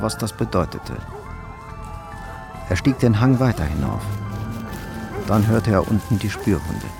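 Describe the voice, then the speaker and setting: A man narrating a crime radio play in a sober, measured storytelling manner. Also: fairly low pitch.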